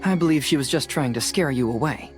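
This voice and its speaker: A woman playing a calm, fearless young investigator in a video game, speaking in a methodical, matter-of-fact way. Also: a bass voice, brisk, over loud music. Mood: analytical.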